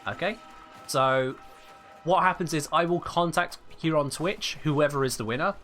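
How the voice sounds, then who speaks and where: A man's voice: a little high, normal speed, a bit bright, faint music behind. A man in his twenties with an English accent, chatting casually while gaming live and reading out viewer messages with playful mock indignation. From England. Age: 20s. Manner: playful, mock-indignant, reads chat aloud. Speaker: game streamer.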